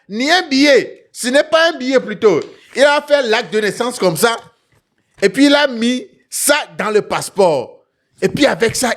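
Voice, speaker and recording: A man's voice: high-pitched, creaky, fairly bright tone. A man with a West African French accent hosting an online talk show, telling stories emphatically, repeating phrases and punctuating them with questioning tags. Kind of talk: animated storytelling commentary to viewers.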